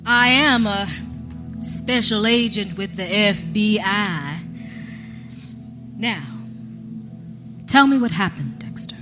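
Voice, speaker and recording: An actress playing a calm, persistent FBI special agent in a live audio drama, measured and coaxing as she questions. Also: mid-range voice. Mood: probing, insistent. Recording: live stage recording with music behind.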